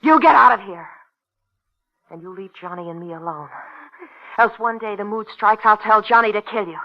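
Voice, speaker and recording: An actress playing a possessive, menacing young waitress in love with a gangster, in a 1950s radio drama. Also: female voice, mid-range voice, normal speed, natural voice. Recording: muffled 1950s radio broadcast.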